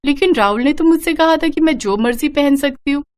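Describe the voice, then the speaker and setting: Woman voicing a high-pitched, defiant young bride. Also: fairly quick, natural voice. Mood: indignant. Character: young, modern, defiant new daughter-in-law. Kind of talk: animated moral-story cartoon dialogue.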